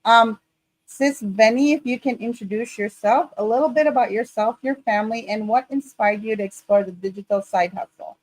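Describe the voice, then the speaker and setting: A woman co-hosts a live online show in a fairly high voice, greeting everyone brightly and more than once. The sound is dry and close, with no room echo. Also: moderate pace. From Philippines. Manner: upbeat, repeated greetings. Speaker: co-host.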